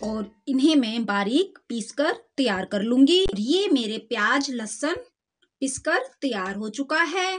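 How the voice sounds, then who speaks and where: A woman's voice: high voice, expressive, a little slow. A woman hosting a cooking channel, plainly explaining each step of a recipe as she cooks in her home kitchen. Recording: clear kitchen cooking noise. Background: sizzling and stirring in a pan.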